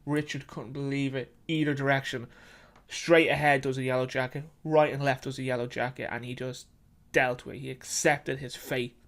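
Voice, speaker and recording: A man's voice: medium pitch, flat delivery, fairly bright tone. Man narrating a story in short phrases. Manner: halting, repetitive short phrases.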